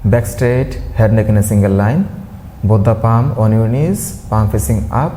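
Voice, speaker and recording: Slow, very mellow man guiding meditation. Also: on the low side, slight background noise. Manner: gentle, soothing, unhurried instructions with long pauses. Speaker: yoga and meditation instructor. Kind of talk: guided meditation.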